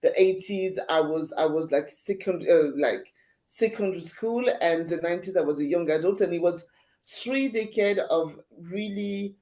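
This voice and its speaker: A woman's voice: fairly low pitch, moderate pace, mellow. A woman in her fifties, born in Haiti and raised in France, chatting animatedly as an interview guest, laughing often and restarting her sentences. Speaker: guest, agency founder. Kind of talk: casual interview chat.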